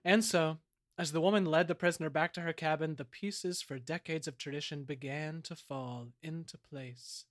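A man's voice: fairly high pitch, steady tone, normal speed. A man hosting a comedy podcast and narrating its audio drama.

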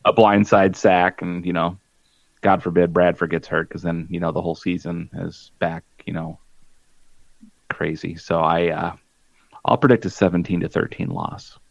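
A man co-hosting a casual sports podcast who talks in a halting, wry way with many pauses and fillers. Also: low voice, little pitch movement, vocal fry, no echo, highs cut off. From small-town Minnesota. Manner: halting, full of "um" and "you know", wry. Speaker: podcast co-host.

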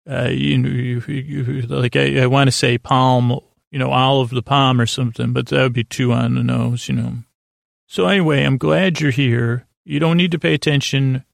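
A man hosting a sleep podcast in a rambling bedtime monologue, creaky and gravelly, halting and stammering as he repeats words and wanders off. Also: mid-range voice, no echo, studio quality. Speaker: podcast host.

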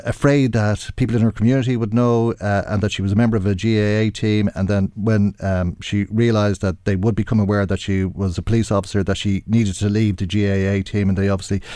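Irish guy hosting radio current affairs, middle aged, lowish voice, halting and measured, very dry sound. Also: dark tone, no room sound. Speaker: radio show host.

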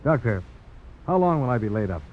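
A man's voice: medium pitch, sing-song, fairly quick, close to an everyday voice. Man playing terse frontier hero, old muffled radio. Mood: determined. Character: brave, proud frontiersman and knife fighter, wounded. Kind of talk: 1950s radio drama acting. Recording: muffled narrowband old radio broadcast, faint hiss.

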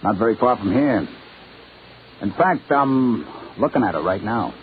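An actor with an American accent playing a slick, pushy small-time swindler in old-time radio drama. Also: male voice, fairly low pitch, animated, measured pace. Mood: smug, coaxing. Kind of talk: 1950s radio drama acting. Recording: tinny, hissy old radio recording.

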